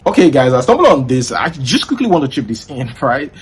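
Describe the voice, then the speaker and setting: A man's voice: medium pitch, very animated, a little fast, faint music behind. A Nigerian man hosting a casual commentary vlog, chatting to viewers and laughing often. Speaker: vlog host.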